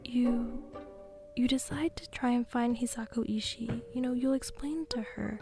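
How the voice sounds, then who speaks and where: A woman narrating a radio drama in the second person, with deliberate phrasing and hushed, breathy passages. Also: a little high, fairly even intonation, music in the background. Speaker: narrator and host.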